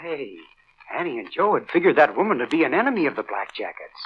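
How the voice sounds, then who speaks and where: A man's voice: sits high, a bit bright. An American man announcing a vintage children's radio serial, chummy and emphatic, speaking straight to listeners. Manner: emphatic, chummy, direct address. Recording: dull old radio recording.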